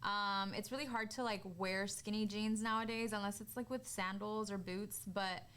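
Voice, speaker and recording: A woman's voice: medium pitch, fairly even intonation, normal speed, a bit bright, clear, resonant tone. A woman in her twenties co-hosting a podcast in a casual, candid, informal way, often dropping "like" into her sentences. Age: 20s. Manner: informal, candid, peppers talk with "like". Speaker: podcast co-host.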